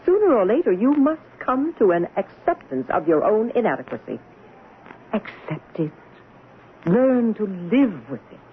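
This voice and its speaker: Scheming, domineering doctor; radio drama, swooping high male voice, clinical and cutting. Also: sing-song, unhurried, exaggerated voice. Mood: stern, belittling. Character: domineering psychiatrist, coolly belittling, secretly scheming. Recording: tinny old radio broadcast, faint hiss.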